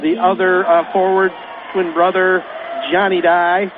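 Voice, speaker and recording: Chatty local radio announcer, tinny broadcast. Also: male voice, high voice, little pitch movement, a little slow. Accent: Midwestern American. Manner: folksy, chatty, reminiscing. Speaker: play-by-play announcer. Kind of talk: radio sports pregame talk. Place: high school gym. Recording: phone-like radio broadcast with faint noise.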